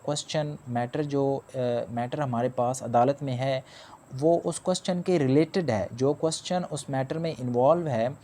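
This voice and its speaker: A man lectures on law in a dark, mellow voice. He talks at a middling pitch and builds each point with patient, repetitive explanation. English legal terms run through his speech. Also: moderate pace, slight background noise. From Pakistan.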